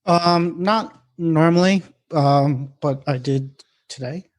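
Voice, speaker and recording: Higher-voiced man chatting casually about tech. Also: slow pace. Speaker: podcast co-host.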